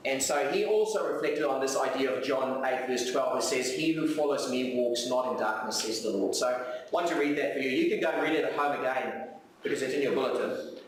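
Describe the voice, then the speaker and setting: A man with a South African accent, a native Afrikaans speaker, preaching earnestly with repeated phrases and rhetorical questions. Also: medium pitch. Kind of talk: sermon with reflective readings. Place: church hall, live service. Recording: very echoey room, distant mic, faint noise.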